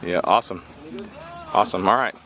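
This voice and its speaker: A man hosting a casual vlog outdoors on a mountain walkway, upbeat and quick to say how great things are. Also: deep voice, crowd noise. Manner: upbeat, says "awesome" often. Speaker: vlog host interviewing conference attendees. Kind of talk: casual on-the-go interviewing.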